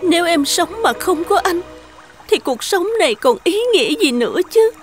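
An actress playing a devoted young runaway daughter in a cartoon folk tale, speaking with pleading emotion. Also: female voice, very high voice, sing-song, normal speed, soft background music. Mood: heartbroken, resolute.

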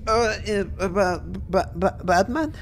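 Man as a slow, stammering timid sidekick. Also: sits high, lively intonation, noisy background. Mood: flustered. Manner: hesitant, stammering.

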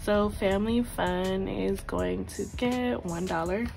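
A woman talks slowly and unhurriedly, her sore throat keeping her voice low. She counts money aloud in a chatty, casual way. Clear music plays behind her. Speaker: budgeting channel host. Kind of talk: casual voice-over while counting cash. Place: tabletop at home. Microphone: overhead camera, hands only.

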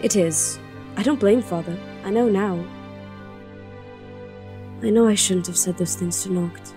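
A woman's voice: mid-range voice, measured pace, mellow, close to an everyday voice. Woman playing a grieving, fiery young princess. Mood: sorrowful. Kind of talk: audio drama acting. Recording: music under the voice.

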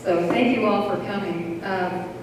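A woman's voice: mid-range voice, fairly even intonation, measured pace. An older woman, vice president of a local society, reading an earnest introduction from her notes. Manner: reads from notes, plain and earnest. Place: large school auditorium. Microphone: handheld mic, recorded from a far camera. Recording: echoey hall from far off, audible noise.

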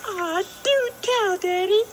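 A man voicing a dainty, daffy young damsel in falsetto for a children's puppet storybook skit. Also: slow, a little hiss or hum. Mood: delighted.

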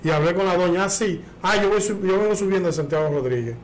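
A man on a studio talk panel argues his point insistently, in a slightly high voice. There is a faint hiss behind him. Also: expressive, quick pace, rough recording. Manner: insistent, argumentative. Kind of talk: studio debate.

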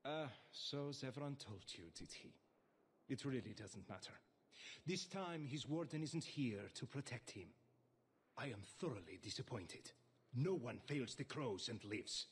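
Husky, menacing assassin boss. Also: male voice, medium pitch, lively intonation, slow pace, heavily acted. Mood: cold contempt. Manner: haughty, threatening. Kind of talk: video game villain acting.

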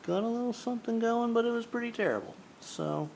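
A man giving a casual, halting, self-deprecating hands-on demonstration as a hobbyist vlogger. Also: very high voice, expressive, a little mellow, low-quality recording. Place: garage workshop. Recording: clear ambient workshop noise.

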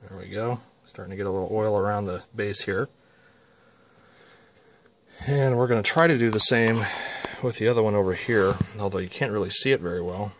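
A man's voice: lowish voice, a little fast, slight background noise. A man presenting a hands-on repair tutorial in a casual, unscripted, folksy way, talking himself through the work. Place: indoor electronics workshop. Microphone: handheld phone camera.

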